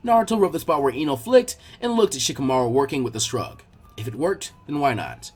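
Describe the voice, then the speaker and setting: Animated man narrating a story. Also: medium pitch, fairly quick, breathy edge, soft background music. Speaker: narrator, fan fiction reader.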